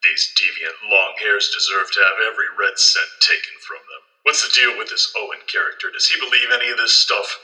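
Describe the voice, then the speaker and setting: An actor playing a crotchety conservative magazine boss, a gruff, pompous man given to ranting in an audio play. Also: fairly low pitch, a little fast, few pauses, sharp tone, rough recording. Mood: gruff, indignant.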